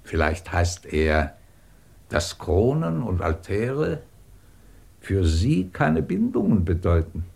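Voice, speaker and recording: A man's voice: low voice, sing-song, slow, gravelly, darkish tone, exaggerated voice. A man acting a middle-aged, imperious and sardonic British professor who runs a research department, in a commanding 1950s German radio drama style. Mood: probing, intense. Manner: commanding, clipped, probing, sardonic.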